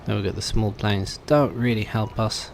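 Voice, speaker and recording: A man's voice: lowish voice, steady tone, moderate pace, gravelly, slight background noise. A man giving casual let's play commentary, thinking aloud in a hesitant way as he goes. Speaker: gaming video host.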